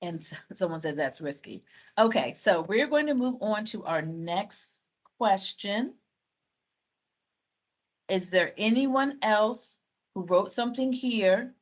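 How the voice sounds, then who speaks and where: A woman's voice: mid-range voice, lively intonation, takes it slowly. A woman facilitating a community video call, reading out and summing up participants' answers in a chatty way with fillers and restarts. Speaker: meeting facilitator.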